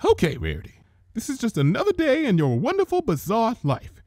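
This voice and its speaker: A man's voice: sits high, wildly swooping pitch, brisk, a little mellow, no room sound, voice pushed up. A man voicing a melodramatic, ladylike female unicorn in a fan fiction reading, theatrical, refined and emphatic. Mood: composing herself.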